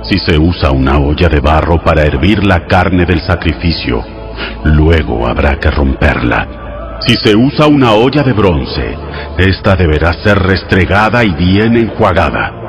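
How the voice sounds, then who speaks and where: A man with a low voice reads scripture as an audio Bible narrator. His delivery is solemn, formal and instructive, laid out in measured phrases. Music plays clearly behind him throughout. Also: big pitch swings. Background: background music.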